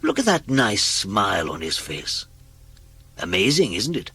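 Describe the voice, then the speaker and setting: Expressive, unhurried male storybook narrator. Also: lowish voice, slightly rough, no echo. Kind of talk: scripted children's story narration.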